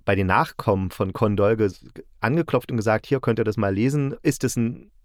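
Low-voiced man chatting on a podcast. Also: brisk, no room sound, studio quality. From East Germany. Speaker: podcast host. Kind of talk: casual podcast chat about audio dramas.

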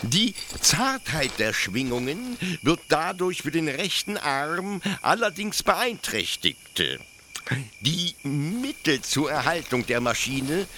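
A man's voice: a little high, very animated, slow pace, sharp tone, a little hiss or hum, full character voice. A man playing a fanatical colonial officer proud of his machine, with emphatic, declamatory radio play delivery. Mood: aggrieved, explaining. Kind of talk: literary radio play acting.

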